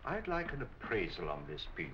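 An actor playing a courteous, shrewd gentleman detective in a measured, articulate 1940s film style. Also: fairly low pitch, wildly swooping pitch. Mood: polite, probing. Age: 50s. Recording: muffled, hissy 1940 film soundtrack.

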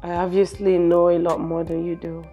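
A woman's voice: on the low side, flat delivery, moderate pace, lo-fi sound, soft background music, close to an everyday voice. An actress playing an outspoken young female captive who is cheeky and also pleads, in a film drama. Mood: blunt, mocking. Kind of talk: film acting.

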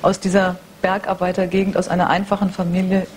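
A woman's voice: fairly low pitch, flat delivery, fast. A woman in her thirties interviewing a guest as a television talk show host. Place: TV talk show studio with audience. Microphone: lapel mic. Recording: noisy old VHS TV recording.

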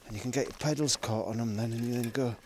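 A man's voice: mid-range voice, steady tone, fast. A middle-aged man chatting casually while cycling forest trails, rambling with self-talking asides, recorded outdoors on a small camera mounted on his bike frame. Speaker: cycling vlogger. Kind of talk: casual narration while riding. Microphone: small pocket camera mounted on the bike frame. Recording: noisy outdoor camera audio.

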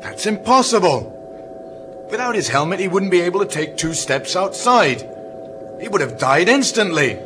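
An actor playing a grave, troubled moon base captain in a radio drama, with a deliberate, commanding manner. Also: male voice, sits high, big pitch swings, background noise. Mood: incredulous.

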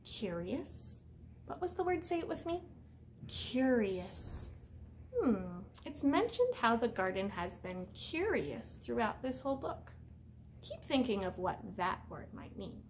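A woman's voice: fairly high pitch, sing-song, slow, slight background noise. A woman in her thirties reading a picture book aloud as a teacher, explaining simply and putting questions to young children. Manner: instructive, simple phrasing aimed at young children. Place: small room indoors.

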